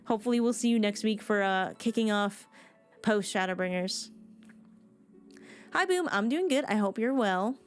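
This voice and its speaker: A woman's voice: mid-range voice, normal speed, pauses often, faint music behind. A woman game livestreamer chatting informally and casually with her viewers.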